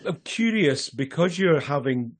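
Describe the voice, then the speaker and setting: A man hosting an interview. He welcomes his guest in a friendly, halting way and asks his questions casually. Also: fairly high pitch, lively intonation. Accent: non-Australian. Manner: friendly and halting, with fillers and restarts. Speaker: host.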